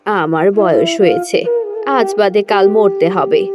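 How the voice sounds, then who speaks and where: A woman's voice: mid-range voice, very animated, takes it slowly. A woman playing a sick, resigned old mother in a moral-story cartoon, weary, loving and worried. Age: older. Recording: loud music over the voice.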